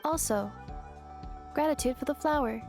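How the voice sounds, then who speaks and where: A woman's voice: high-pitched, normal speed, music in the background, lightly acted. A woman voicing an earnest, curious robot girl with memory loss, speaking in stilted, literal phrases for a video game. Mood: earnest, matter-of-fact.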